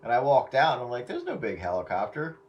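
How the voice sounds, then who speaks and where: A man's voice: mid-range voice, huge pitch swings, fast, darkish tone, lo-fi sound. An American man in casual, wryly joking chat over a CB radio. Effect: radio transmission. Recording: distant, very noisy radio signal.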